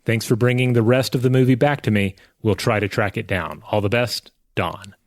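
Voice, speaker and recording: A man's voice: lowish voice, fairly even intonation, normal speed, no echo. A man co-hosting a podcast, speaking conversationally into a close mic. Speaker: podcast co-host. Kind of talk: casual chat, scripted ad reads and listener mail.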